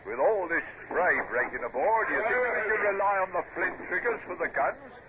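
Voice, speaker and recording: An eager, loyal navy lieutenant in an old radio drama. His voice is high for a man and swings widely as he speaks in a hearty, deferential way. The sound is very noisy. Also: expressive, moderate pace, muffled recording. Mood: respectful, practical. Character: eager, loyal naval lieutenant, excitable under fire. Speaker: actor playing a ship's officer.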